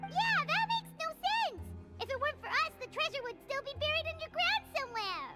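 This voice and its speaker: A woman voicing a small excitable fairy companion girl who whines and panics easily, in a video game. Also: brisk. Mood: indignant. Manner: squeaky, whiny, easily alarmed. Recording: game audio with music underneath.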